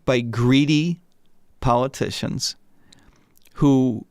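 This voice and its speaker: A man's voice: mid-range voice, drawn-out words, creaky, darkish tone, no room sound, studio quality. A man in his sixties, a retired economist, telling stories as a guest in a casual interview and often repeating words when he starts a sentence.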